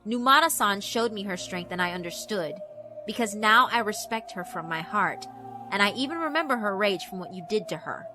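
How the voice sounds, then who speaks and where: A woman voicing an earnest, gentle schoolgirl ghost in a horror visual novel, speaking solemnly. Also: mid-range voice, expressive, moderate pace, over music, lightly acted. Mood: earnest, reproachful.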